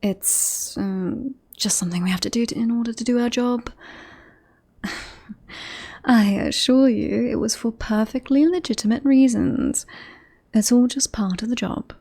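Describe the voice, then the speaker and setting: A woman playing a devoted bodyguard in an ASMR roleplay, politely reassuring and professional on the surface, with an obsessive streak slipping into hushed intimacy. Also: a little high, measured pace. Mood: flustered, covering up. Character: devoted yandere bodyguard woman, composed front over creepy obsession.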